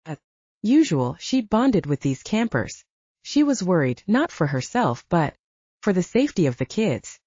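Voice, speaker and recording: A woman's voice: on the low side, big pitch swings, unhurried, warm tone, slightly rough, no room sound, dull audio. A woman reading scripted fiction as an audiobook narrator.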